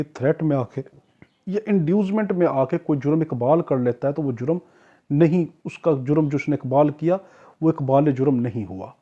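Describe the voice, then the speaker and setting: A man's voice: fairly high pitch, mellow. A man with a Pakistani accent teaching law in an explanatory lecture, posing questions and answering them himself. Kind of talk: explanatory lecture with acted-out examples. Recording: dull, muffled lo-fi lecture recording.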